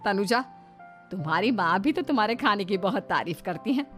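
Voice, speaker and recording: Woman acting lively older village mother-in-law. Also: mid-range voice, fairly quick, faint music behind, close to an everyday voice. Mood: teasing. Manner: emphatic, teasing. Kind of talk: Hindi cartoon story character acting.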